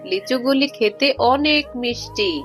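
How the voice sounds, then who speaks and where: A woman's voice: high voice, a little slow, a bit bright, soft background music. A woman voicing a chatty, covetous neighbour wife in a children's animal cartoon, with a coaxing, gossipy manner. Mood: coaxing, greedy.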